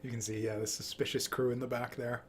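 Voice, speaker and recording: A man streaming a game and giving casual live commentary in a halting, musing way. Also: medium pitch, level tone. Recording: noisy stream with game audio.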